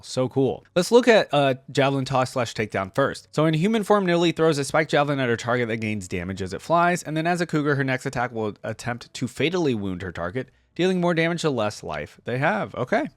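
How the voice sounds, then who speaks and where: A man hosting a reaction video, reading text aloud and commenting casually as he thinks out loud. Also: medium pitch, dry recording.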